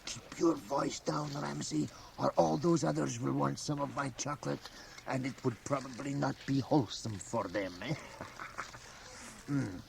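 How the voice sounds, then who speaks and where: An ancient, mischievous old priest for a radio play. The voice is heavily creaky and slow, with sly pauses and scholarly playfulness. A faint tape hiss sits under it. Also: male voice, medium pitch. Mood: sly, wheedling. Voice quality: creaky, gravelly aged voice. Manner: sly, chuckling, hushed asides, dramatic pauses. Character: very old, mischievous, learned Jesuit priest, over a hundred. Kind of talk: radio drama acting. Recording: faint hiss, home cassette radio transfer.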